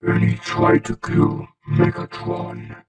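A man playing a cold, menacing cartoon robot lieutenant with a vocoder effect and clipped commands. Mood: indignant, scornful. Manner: slow, flat, clipped commanding delivery. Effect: robotic vocoder filter. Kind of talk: hobby voice impression of a cartoon villain robot.

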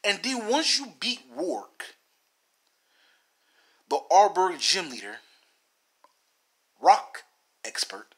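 A man's voice: a little high, a bit bright, husky. A man giving rambling video game commentary in a theatrical manner, repeating phrases and talking straight at the viewers.

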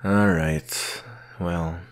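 A man playing a stern, solitary research doctor in an audio roleplay, speaking in a measured, dry, curt manner with a gravelly voice. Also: low-pitched, fairly even intonation, heavily acted. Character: gruff male researcher doctor, solitary, stern but patient mentor. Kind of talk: slow audio roleplay acting addressed to the listener.

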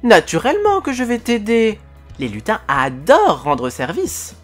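A woman voicing a tiny, cheerful male forest elf with a high, squeaky voice, reading a storybook. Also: a little slow, faint music behind. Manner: chatty, polite, excitable.

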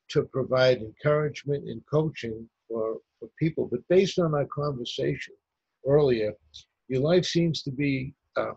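A man's voice: medium pitch, gravelly, slightly rough, no room sound. A man hosting a video call interview, polite and hesitant, with many fillers. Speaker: host. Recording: dry, muffled call audio.

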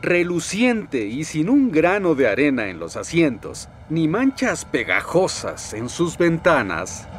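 A man's voice: on the high side, very animated, takes it slowly, few pauses, slight background noise. A man with a Latin American accent narrating a children's train cartoon as a storyteller. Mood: storytelling.

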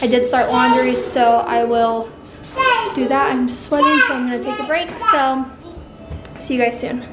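A woman's voice: high voice, steady tone, normal speed, over loud music. Chatty young woman vlogging at home. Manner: chatty, rambling, filming handheld while walking through the house. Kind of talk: casual home vlog. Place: home rooms, indoors. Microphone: phone or camera held at arm's length.

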